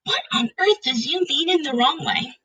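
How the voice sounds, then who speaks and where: A woman voicing an excitable, indignant male storybook giant who speaks emphatically in mangled grammar and nonsense words. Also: high voice, normal speed, pitched above the performer's own voice.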